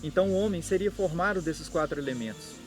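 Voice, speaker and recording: A Brazilian man hosting a channel, explaining at an unhurried pace and thinking aloud with small restarts. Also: fairly high pitch, animated, soft background music. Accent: Brazilian Portuguese. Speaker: occult channel host. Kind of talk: explanatory monologue.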